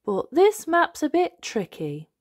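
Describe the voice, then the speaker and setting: A woman's voice: sits high, huge pitch swings, slow pace, no echo, clean studio sound, pitched above the performer's own voice, exaggerated voice. A woman voicing a cheerful, bumbling father pig from a storybook, hesitant and reassuring. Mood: sheepish. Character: cheerful, bumbling daddy pig, adult male.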